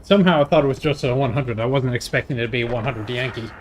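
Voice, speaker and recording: A man's voice: mid-range voice, rapid-fire. A man giving casual live commentary as he plays a video game, in a dry, wry, half-to-himself manner. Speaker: host of a video game stream. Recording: clear background engine and game noise. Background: video game tank engine and battle sounds.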